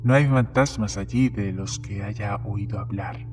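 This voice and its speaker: A man's voice: lowish voice, unhurried, a little mellow, soft background music, lightly acted. A man playing a plain-spoken small-town bartender in a horror story, matter-of-fact in manner.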